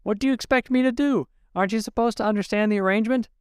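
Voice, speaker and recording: A woman's voice: a little high, rapid-fire, clean tone, dry recording, studio quality, voice pushed up, lightly acted. A woman with a Nigerian accent voicing a curt, domineering husband who barks impatiently, in a story reading. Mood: angry. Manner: barking, impatient. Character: curt, domineering absent husband, adult man.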